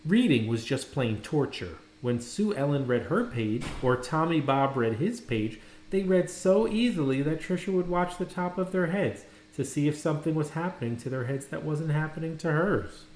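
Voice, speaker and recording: A man reading a children's story aloud, dramatically, with pauses and stressed words. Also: on the high side, animated, darkish tone. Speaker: storybook reader. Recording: roomy echo, faint background noise.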